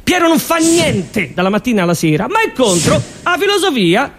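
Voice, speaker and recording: A man playing a deadpan Roman housewife. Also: extremely high pitch, moderate pace, faint music behind, voice pushed up, exaggerated voice. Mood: deadpan grievance. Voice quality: deadpan, repetitive, mock-reasonable. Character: Roman woman, fed up with lazy live-in partner. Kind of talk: comic stage monologue.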